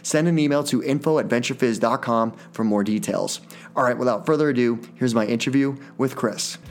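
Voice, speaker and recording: A man hosts a tech podcast. His voice sits at an ordinary middle pitch. He reads a prepared introduction smoothly, then questions his guest in a relaxed, friendly way. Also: fairly quick, soft background music. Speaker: podcast host. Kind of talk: scripted intro then conversational interviewing.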